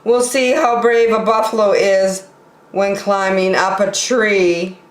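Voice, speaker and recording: A woman's voice: mid-range voice, fairly even intonation, takes it slowly, voice pushed up, close to an everyday voice. A woman voicing a proud, competitive male bear from a children's storybook, insistent and bragging, in a roomy space. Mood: boastful.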